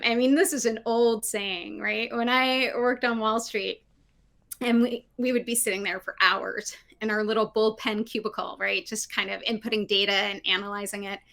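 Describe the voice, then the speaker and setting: A middle-aged woman talks from her living room over a video call, her voice bright and a little high. She speaks with animation and keeps checking in with "right?" as she makes her case. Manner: animated, frequent "right?" tags, laughs. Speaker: guest, diversity consultant. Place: home living room with bookshelves. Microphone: video call, laptop mic.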